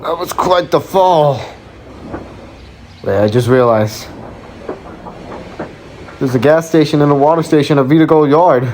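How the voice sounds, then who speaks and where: A man's voice: a little slow, long pauses, a little mellow, lightly acted. A man playing a train engineer on a runaway train in an amateur audio drama. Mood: worried. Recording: noisy, rumbling train sounds over voice.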